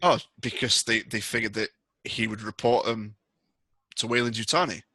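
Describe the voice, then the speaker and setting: A man's voice: lowish voice, moderate pace, pushed and bright, slightly rough, no echo. A man playing a reluctant, plain-spoken Marine survivor in a tabletop game, hesitant and informal, repeating himself. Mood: uneasy, defensive.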